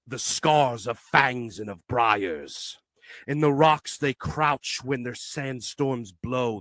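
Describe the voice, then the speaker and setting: Man, forceful bright tone, solemn declamatory poetry recitation, slow delivery, dry close sound. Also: medium pitch, dry recording. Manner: declamatory, solemn, measured phrasing. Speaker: poetry reader.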